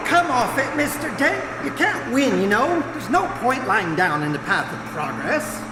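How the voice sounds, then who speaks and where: A woman's voice: a little high, big pitch swings, moderate pace, noisy background. A woman playing an officious, exasperated bureaucrat in a live radio comedy, pompous and insistent. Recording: echoey hall with music behind.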